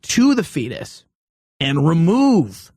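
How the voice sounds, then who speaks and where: A man's voice: on the high side, huge pitch swings, slow pace, darkish tone, no echo. A man co-hosting a talk show, debating casually and jokingly in an informal, rambling way.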